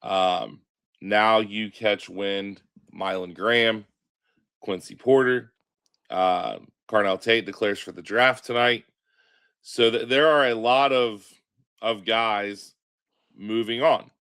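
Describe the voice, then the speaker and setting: A man hosting a casual sports podcast, sounding stuffy from a cold, chatting in a relaxed way. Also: fairly low pitch, slow pace, smooth. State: stuffy, congested. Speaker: podcast host.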